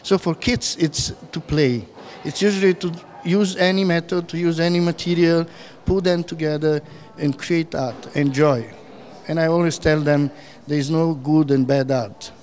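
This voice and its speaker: A man in his fifties from former Yugoslavia, speaking as an artist in an on-camera interview in a gallery room, halting and full of fillers, into a handheld mic. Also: fairly high pitch, fairly even intonation, darkish tone. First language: Serbian. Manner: halting, many fillers and restarts. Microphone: handheld mic held close.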